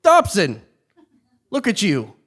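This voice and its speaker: A man's voice: sits high, wildly swooping pitch, slow pace, a bit bright, exaggerated voice. An actor playing a well-meaning, exasperated new king in a comic stage play, earnest and theatrical. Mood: earnest, incredulous. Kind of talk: live absurdist comedy stage acting.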